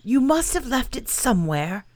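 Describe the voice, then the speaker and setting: A woman's voice: fairly high pitch, very animated, normal speed, a little mellow, dry recording, pitched above the performer's own voice. A woman voicing a kindly, simple male teddy bear in a storybook reading, sounding earnest and a little bumbling.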